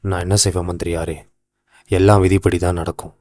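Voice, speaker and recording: Man acting a deep-voiced sorrowful old king. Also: level tone, fairly quick, a little mellow, natural voice. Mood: resigned, sorrowful. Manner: formal, literary, regal phrasing. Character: grieving, ailing old king, resigned yet emotional. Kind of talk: animated folktale acting.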